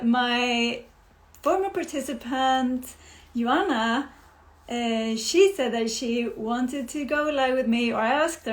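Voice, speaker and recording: Cheery yoga teacher lady chatting on a live stream over video call, kinda high voice, lots of ums and laughs. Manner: upbeat, laughs often, many fillers and restarts. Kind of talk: casual live-stream chat.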